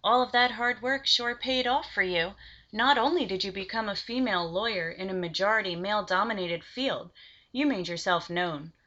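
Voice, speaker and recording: A woman's voice: medium pitch, normal speed, sharp tone, muffled recording. A woman acting as interviewer, reading formal, admiring questions in a scripted interview.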